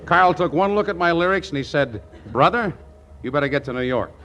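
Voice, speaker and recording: An actor playing a brash, naive young small-town songwriter in 1940s radio comedy, eager, boastful and stammering, with a folksy way of talking. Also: male voice, on the high side, expressive, a little fast, pushed and bright. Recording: noisy vintage 1940s radio broadcast.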